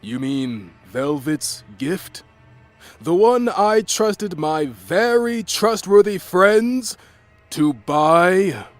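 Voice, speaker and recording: A man doing a calm, gentle big guy. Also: high-pitched, extremely slow, soft background music, exaggerated voice. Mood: stern. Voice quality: soft, laid-back.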